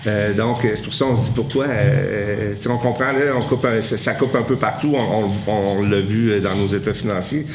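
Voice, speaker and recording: A man's voice: fairly low pitch, moderate pace, hardly any pauses, echoey room, noisy background. A man with a Québécois French accent chairing a municipal council meeting, answering questions with many fillers and restarts.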